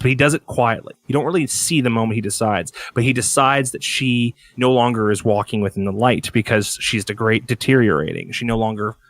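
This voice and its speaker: Guy riffing on story ideas on a podcast, animated, swears, close dry mic. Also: mid-range voice, no echo. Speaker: podcast host. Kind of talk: casual brainstorming chat.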